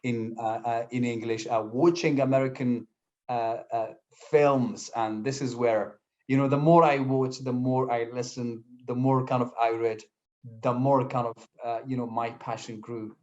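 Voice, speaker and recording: A young man from Syria, Kurdish by mother tongue, talks through an interview in English, halting often with fillers and restarting his phrases. His voice sits at a middle pitch. The audio is a little dull and lo-fi. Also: fairly even intonation. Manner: hesitant, many fillers and restarts. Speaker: guest, English teacher. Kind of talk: casual interview conversation.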